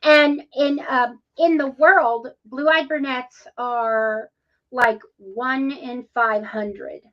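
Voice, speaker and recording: Female co-host, rambling and chatty, slightly high voice, muffled sound. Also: fairly even intonation, extremely slow. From Ohio, USA. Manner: chatty, rambling, with many ums. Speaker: podcast co-host. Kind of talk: casual interview conversation.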